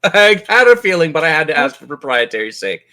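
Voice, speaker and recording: A man's voice: a little high, sing-song, brisk, pushed and bright. A man acting as game master, narrating and running a tabletop roleplaying game.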